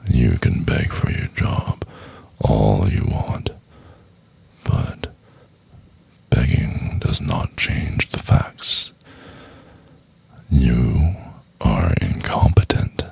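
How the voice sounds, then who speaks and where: Guy doing a big bossy seductive office man, super low gravelly voice, soft spoken asmr, real dry and close. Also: big pitch swings, dry recording, exaggerated voice. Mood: cold contempt. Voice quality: very deep, breathy, gravelly. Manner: slow, intimate, drawn-out delivery. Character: domineering, seductive big male boss, cruel then doting.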